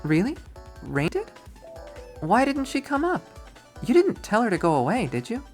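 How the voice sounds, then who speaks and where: A performer voicing a bubbly but touchy young woman in a visual novel, with an animated, emphatic, girlish manner. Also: mid-range voice, normal speed, soft background music, voice pushed up. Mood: bright, chatty.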